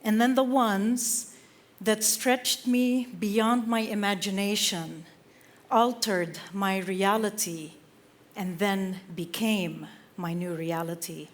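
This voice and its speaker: A woman from Lebanon giving an inspirational stage talk, slow and measured with dramatic pauses between phrases. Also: medium pitch, fairly even intonation. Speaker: speaker. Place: theater stage.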